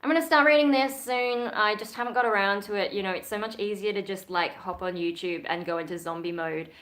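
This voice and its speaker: A young woman with an Australian accent talks casually through a livestream, reading viewer comments aloud and answering them as she goes. Her voice sits at a middle pitch, and she rambles with plenty of fillers. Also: a little fast, a bit bright. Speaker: YouTuber.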